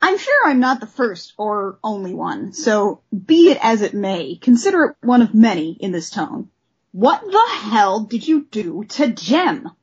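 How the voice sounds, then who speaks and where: A woman's voice: mid-range voice, sing-song, measured pace, breathy edge, dull audio. Woman putting on an outraged, scornful fan. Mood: indignant. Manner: emphatic, scornful, theatrical. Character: outraged, indignant long-time fan ranting in a letter. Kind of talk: dramatic reading of an angry letter.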